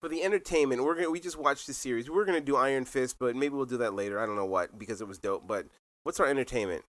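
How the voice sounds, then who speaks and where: A man's voice: mid-range voice, racing, no echo. A middle-aged man co-hosting a casual podcast, rambling with fillers and laughing often. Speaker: podcast co-host. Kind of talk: casual chat.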